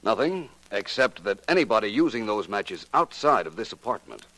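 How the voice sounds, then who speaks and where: An actor with an American accent playing a suave, assured detective in a 1940s radio drama, measured and pointed in delivery. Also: male voice, fairly low pitch, sing-song, sharp tone, dry recording, full character voice, rough recording. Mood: assured, accusing. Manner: measured, pointed, coolly deliberate. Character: suave, assured male amateur detective solving a murder. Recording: vintage 1940s radio recording.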